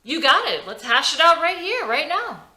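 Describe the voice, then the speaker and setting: A woman playing a defiant, hot-tempered young female rock musician in a script reading, forceful and confrontational. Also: sits high, very animated, normal speed, pitched above the performer's own voice, heavily acted. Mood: challenging. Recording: roomy echo.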